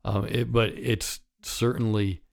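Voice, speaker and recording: A man in his sixties with a raspy voice from a partly paralyzed vocal cord, talking candidly and wryly as host of a solo podcast. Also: on the low side, level tone, slow. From United States. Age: 60s. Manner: candid, wry and conversational, with chuckles. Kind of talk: reflective solo podcast monologue.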